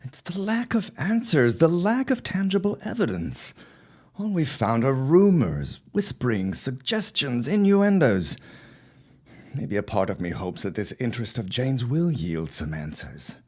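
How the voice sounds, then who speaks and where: An actor playing a grieving father and husband, earnest and halting, in an audio drama. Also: mid-range voice, sing-song, darkish tone. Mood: troubled. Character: grieving middle-aged father, anxious husband.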